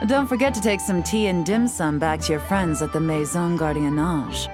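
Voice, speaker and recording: A woman's voice: on the low side, moderate pace, music in the background, natural voice. A woman playing a shrewd, composed, wry investigator in a video game. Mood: satisfied, relaxed. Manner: composed, knowing, sardonic.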